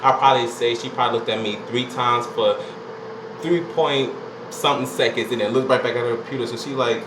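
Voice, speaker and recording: A man's voice: mid-range voice, fairly even intonation, a little fast. Chatty young man. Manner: laid-back, chatty, lots of "you know" and "like". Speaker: vlogger. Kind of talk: casual storytelling vlog. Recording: echoey room with air conditioner hum.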